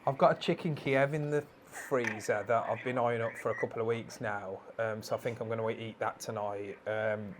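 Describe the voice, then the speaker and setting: Hesitant deadpan man, noisy recording. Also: lowish voice, normal speed, hardly any pauses. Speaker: prank caller. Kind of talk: casual phone prank chat. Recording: noisy phone prank call recording.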